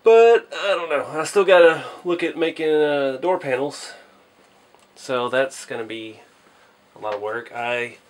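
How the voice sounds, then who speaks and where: A man's voice: on the high side, a little slow. A man hosting a casual hobby build vlog, speaking in a modest, hesitant way as he thinks aloud. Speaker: hobby channel host.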